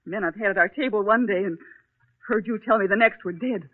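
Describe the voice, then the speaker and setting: A woman's voice: medium pitch, a little fast, close to an everyday voice. An actress playing an anxious, pleading middle-aged working-class wife and mother in a 1940s radio drama, earnest and emotional. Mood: anguished. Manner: emotional, earnest, plain-spoken. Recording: thin, narrow-band 1940s radio recording.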